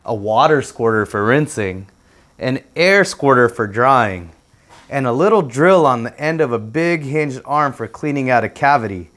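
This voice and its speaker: A man's voice: medium pitch, lively intonation, moderate pace, a bit bright. A man narrating a children's storybook aloud as a guest reader.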